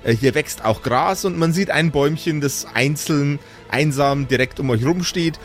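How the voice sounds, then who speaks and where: A man's voice: on the high side, normal speed, faint music behind. A man acting as game master, improvising scene descriptions for a tabletop role-playing game.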